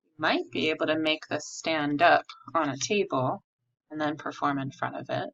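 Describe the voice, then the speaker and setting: A woman's voice: deep voice, normal speed, fairly bright tone. A woman art teacher from the United States giving simple, step-by-step craft instructions while demonstrating at a table. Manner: simple, clear step-by-step phrasing. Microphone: recording a tabletop demonstration under an overhead camera. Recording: slightly dull, lo-fi audio.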